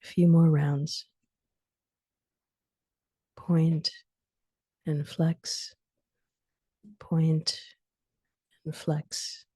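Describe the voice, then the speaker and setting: A woman leading a guided sleep meditation, giving soothing instructions in short phrases with long pauses and soft, breathy endings. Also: low-pitched, little pitch movement, no room sound.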